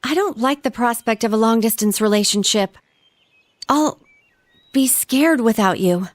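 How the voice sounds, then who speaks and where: Anime game role: proud, clingy teen girlfriend, girlish, high register, unhurried delivery. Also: no echo. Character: teenage Japanese schoolgirl, bubbly, proud, secretly clingy sweetheart. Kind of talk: anime video game voice acting.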